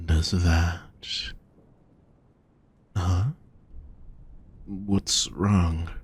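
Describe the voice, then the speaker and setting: A man playing a gentle, protective demon lover in an intimate roleplay audio, soft, husky and reassuring, speaking straight to the listener. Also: sits low, full character voice. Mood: concerned, gentle. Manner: soft, reassuring, unhurried coaxing. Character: gentle, teasing, protective male demon lover at a fantasy brothel.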